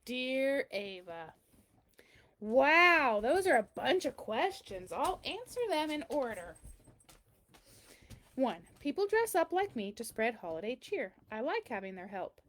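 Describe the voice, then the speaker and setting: A woman's voice: high-pitched, very animated, unhurried, fairly bright tone, exaggerated voice. A woman voicing a kindly, very old man who answers a child's letters in a storybook, reassuring and explanatory. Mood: kindly, explaining. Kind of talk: children's storybook read-aloud.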